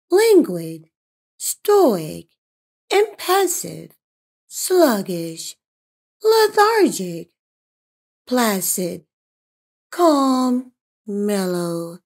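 A woman voicing a placid, peace-loving sloth in a children's storybook, with drawn-out, emphatic delivery. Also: fairly high pitch, exaggerated voice. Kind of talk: children's storybook read-aloud.

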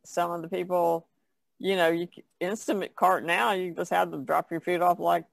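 An older woman chats casually as a podcast panelist. Her voice has plenty of creak and sounds dry, with little room to it. She talks in a plain, folksy way. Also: on the low side, little pitch movement, normal speed, no echo. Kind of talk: casual chat.

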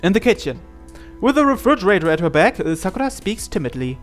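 The kind of higher male voice you would hear on a gaming channel, reading a story game aloud over music. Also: very animated, fast. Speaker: reader narrating a visual novel playthrough.

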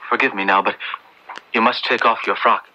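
An actor in an old radio drama playing an earnest, devout young clergyman, halting and urgent, often repeating himself. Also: lowish voice, fairly even intonation, natural voice. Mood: urgent, determined. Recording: dull, noisy old radio drama recording.

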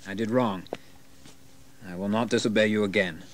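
An actor playing a young colonial rebel leader in an audio history drama, impassioned and declamatory. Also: male voice, on the low side, fairly even intonation, normal speed, natural voice. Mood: contrite.

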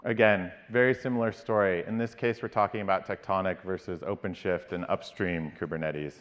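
A man in his thirties, a company CEO, giving a conference keynote from a large stage in a measured way, with frequent ums and restarts. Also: low-pitched, steady tone. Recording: dull-toned keynote audio with long hall reverb.

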